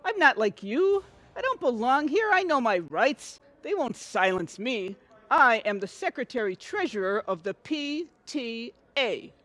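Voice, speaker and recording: A woman's voice: fairly high pitch, big pitch swings, measured pace, fairly bright tone, full character voice. A woman acting in an audio comedy as a prim, self-righteous housewife, easily outraged, protesting in a huffy, melodramatic way. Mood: huffy, indignant.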